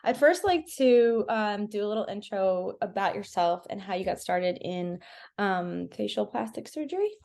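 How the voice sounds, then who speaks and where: A woman's voice: mid-range voice, animated, moderate pace, gravelly, a little mellow. A woman hosting a chat show, talking casually and enthusiastically with her guest. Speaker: interview show host.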